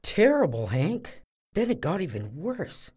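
A woman playing a blunt, grumbling gambler, husky and dismissive, in an audio drama. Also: fairly low pitch, big pitch swings, unhurried, a little mellow, heavily acted.

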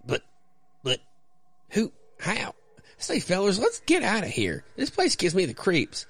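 Man doing a high, jittery frightened townsman. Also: wildly swooping pitch, unhurried, fairly bright tone, husky, dry recording, full character voice. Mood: spooked. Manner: stammering, nervous. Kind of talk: comic-book radio play.

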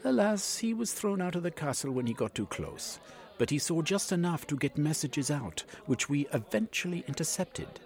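A man's voice: fairly high pitch, expressive, normal speed, darkish tone, voice pushed up. A man voicing a scheming, scornful male foreign spy villain who threatens and sneers.

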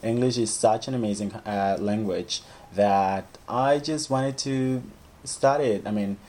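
A man's voice: lowish voice, unhurried, darkish tone. Hesitant man, lots of "right?". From El Salvador. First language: Spanish. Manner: hesitant, restarts often, leans on "like" and "right?". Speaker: guest, English teacher. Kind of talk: casual interview chat.

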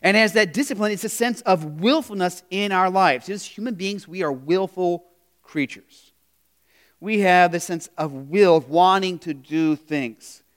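A man with a high voice preaches a sermon to his congregation. He talks in a loose, conversational way, restarting phrases and throwing questions out to the listeners. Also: unhurried, a bit bright. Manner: conversational preaching with frequent "you know" and restarts. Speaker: pastor.

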